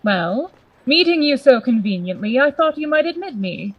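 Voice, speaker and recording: A woman playing a grave, worried gentleman lawyer in a Gothic radio play, formal and earnest in manner. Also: high-pitched, sing-song, moderate pace, no room sound, dull audio. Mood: wary, polite.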